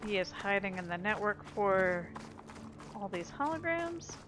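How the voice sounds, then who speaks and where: A woman giving casual, relaxed game walkthrough commentary, chatting and musing aloud as she plays. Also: mid-range voice, unhurried. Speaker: gaming video host. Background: game music.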